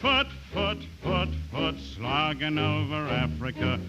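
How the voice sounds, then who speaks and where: A marching soldier driven to madness, half sung and half declaimed in a high operatic voice. The words come slowly and insistently. Loud music pounds behind, all on a dull vintage radio recording. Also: male voice, expressive. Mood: grim, driven. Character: weary marching soldier going mad. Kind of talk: dramatic operatic song-recitation.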